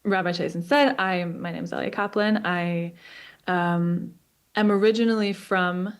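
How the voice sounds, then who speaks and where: A woman in her twenties, raised between Israel and the US, giving a personal talk as a guest speaker over a video call, pausing often with ums and restarts. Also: lowish voice, smooth. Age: 20s. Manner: thoughtful, many ums and restarts. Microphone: remote video call.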